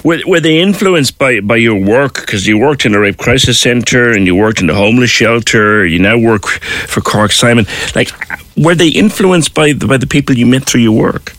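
A man's voice: medium pitch, animated, normal speed, fairly bright tone, no echo. A man hosting an interview, reflective, prompting his guest with short agreements and questions. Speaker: interview host. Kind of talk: interview conversation.

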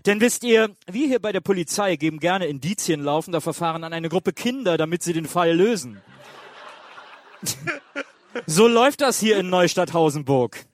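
A man's voice: high voice, expressive, normal speed, no room sound. A man playing an officious, cheerfully oblivious police inspector in a comic audio play. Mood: officious, upbeat. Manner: officious, breezy, insistent.

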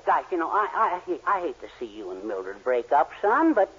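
An actor playing a meek, kindly middle-aged husband, henpecked and hesitant, in a 1940s radio sitcom. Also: male voice, fairly high pitch, sing-song, brisk, very noisy. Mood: apologetic, hesitant. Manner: hesitant, hemming and hawing. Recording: muffled 1940s radio broadcast recording.

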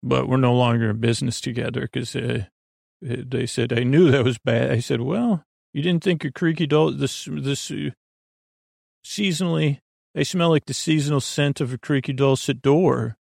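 A man with a creaky voice hosting a bedtime podcast in a meandering, halting monologue that keeps interrupting and repeating itself. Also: mid-range voice, fairly even intonation, darkish tone, no room sound, studio quality. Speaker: podcast host.

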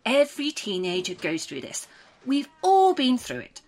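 An actress playing a probing official in a dystopian audio drama, reassuring, procedural and a little patronising. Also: female voice, high-pitched, huge pitch swings, moderate pace, a little mellow, heavily acted. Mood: brisk, reassuring. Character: soothing, probing woman official of a dystopian programme. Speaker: interviewer. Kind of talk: audio drama dialogue, clinical interview.

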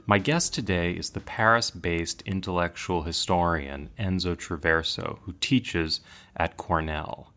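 Low-pitched man, podcast host, formal scripted intro, measured delivery. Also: fairly even intonation, pushed and bright.

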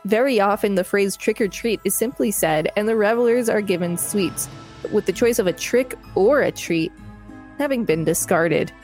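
A woman's voice: medium pitch, moderate pace, a little mellow. Woman co-host reading over music. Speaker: podcast co-host. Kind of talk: reading an article aloud with casual asides.

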